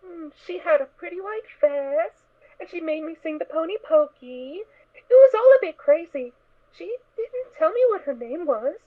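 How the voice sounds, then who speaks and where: A woman's voice: very high voice, lively intonation, moderate pace. A woman playing a sweet, naive little girl pony in a fan audio drama, with childlike, earnest, hesitant speech. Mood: innocent, chatty. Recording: tinny, narrow phone-like sound.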